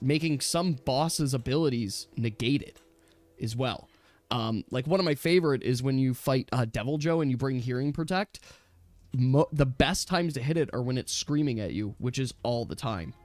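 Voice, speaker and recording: A man chatting casually and at length as a gaming stream host, emphatic and animated, often correcting himself. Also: medium pitch. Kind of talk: casual rambling gamer chat. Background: background music.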